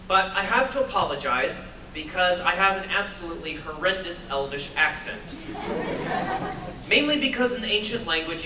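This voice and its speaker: A jet-lagged man with a high voice talks and reads aloud to a crowd, playful and poking fun at himself. He was recorded from far back in a big echoey hall on a handheld device, with a steady noise floor behind him. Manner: playful and self-mocking, with jokes and laughs. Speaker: author reading at a fan event. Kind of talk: live talk and reading to an audience. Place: convention hall with an audience. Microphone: phone or camera recording from the audience.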